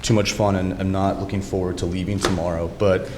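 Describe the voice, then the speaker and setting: A young man delivers an academic lecture in a somewhat low voice, friendly and measured, with the odd filler as he finds his words. The sound rings with long hall reverb over a faint hiss. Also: little pitch movement. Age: young adult. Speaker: lecturer, doctoral candidate.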